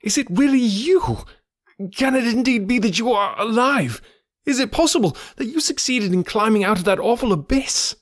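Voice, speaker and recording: A man voicing an excitable, warm-hearted Victorian gentleman, effusive and loyal, in an audiobook story. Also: very high voice, normal speed, voice pushed up. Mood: amazed, overjoyed.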